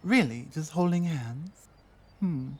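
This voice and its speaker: A woman voicing an earnest, honor-bound female orc in an ASMR roleplay, formal yet halting in manner. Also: deep voice, lively intonation, darkish tone, husky, exaggerated voice. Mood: hesitant, agreeable.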